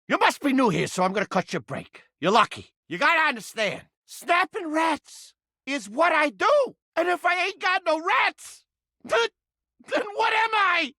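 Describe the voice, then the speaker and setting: A man's voice: extremely high pitch, pushed and bright, dry recording, clean studio sound, full character voice. An actor playing a cranky, manic living mousetrap in a video game, with blustering, streetwise wise-guy talk. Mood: angry, indignant. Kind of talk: animated video game character acting.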